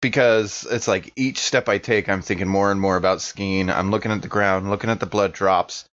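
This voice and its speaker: A man's voice: low voice, steady tone, moderate pace, fairly bright tone. A man casually narrating his character's actions in a tabletop roleplaying game, piecing them together hesitantly. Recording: slightly dull, lo-fi sound.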